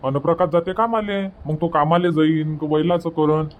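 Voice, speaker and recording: A man's voice: a little high, steady tone, normal speed, phone-quality sound, close to an everyday voice. A man voicing a practical, fond village farmer father in an amateur cartoon comedy, with a rural Vidarbha Marathi accent. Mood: practical, reluctant.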